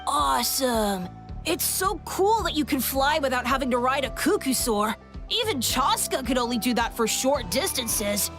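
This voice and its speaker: A woman voicing an excitable, admiring young child in a video game, with a childlike voice. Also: high-pitched, normal speed, heavily acted. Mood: awed. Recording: music behind the voice.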